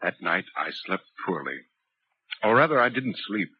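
An actor in a 1940s radio drama playing a curious, courteous gentleman in a ghost story, with an earnest, formal, theatrical delivery. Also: deep voice, big pitch swings, moderate pace. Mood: troubled, narrating. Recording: dull, noisy 1940s radio recording.

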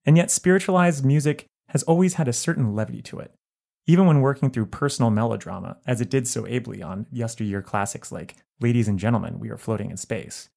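A man narrating a written album review in a measured, articulate way. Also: medium pitch, creaky, mellow. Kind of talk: scripted narration.

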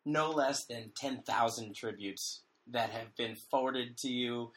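A man with a hoarse voice, co-hosting a casual comedy podcast with wry, deadpan jokes. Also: mid-range voice, unhurried, rough recording. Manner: wry, deadpan jokey delivery with frequent restarts. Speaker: podcast co-host.

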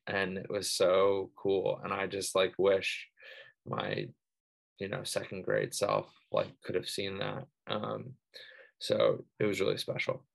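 Slow-talking guy who stutters, gravelly low voice, lots of likes and ums. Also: lively intonation. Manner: person who stutters, with part-word repeats and many fillers. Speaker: panel speaker. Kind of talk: casual reflective talk.

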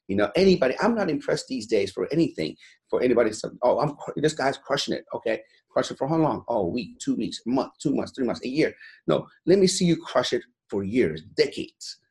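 A fired-up man in his forties, originally from Iran, talking blunt and profane as an interview guest. His voice sits at an ordinary middle pitch, and he keeps circling back with "you know" as he pushes his point hard. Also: vocal fry, a little mellow. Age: 40s. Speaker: guest, gym owner. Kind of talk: motivational interview talk.

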